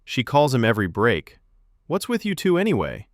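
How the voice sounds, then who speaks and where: A man's voice: medium pitch, wildly swooping pitch, moderate pace, no echo, studio quality, voice pushed up. A man playing an easygoing young man who teases and bluntly coaches a rehearsal, in a fiction podcast. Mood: probing.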